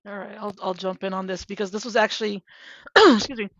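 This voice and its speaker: A woman jumps into a panel discussion with a relaxed, chatty manner. Her voice is middle-pitched, and the audio is muffled and dry. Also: fairly quick, no room sound. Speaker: college panelist. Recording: dull, dry video-call audio.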